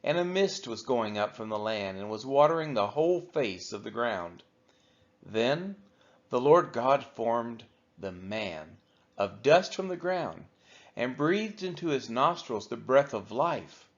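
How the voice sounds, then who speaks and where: A man reading a Bible chapter aloud for a church, measured and falling at the end of each phrase. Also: medium pitch, very animated. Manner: measured, falls at phrase ends. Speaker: church scripture reader. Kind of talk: steady scripture reading. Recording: dull lo-fi audio.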